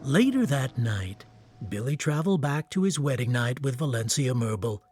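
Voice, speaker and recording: A man narrating a radio drama as a storyteller, in a terse, matter-of-fact way over soft music. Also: mid-range voice, big pitch swings, normal speed, a little hiss or hum, close to an everyday voice. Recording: faint music behind narration.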